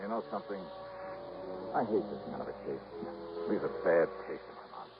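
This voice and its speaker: Low-voiced hard-boiled radio detective. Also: male voice, lively intonation, creaky, over music. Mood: weary, disgusted. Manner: brisk, wry, pointed questioning. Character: hard-boiled male freelance insurance investigator, wry, relentless. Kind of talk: 1950s radio detective drama acting. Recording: dull, noisy old radio recording.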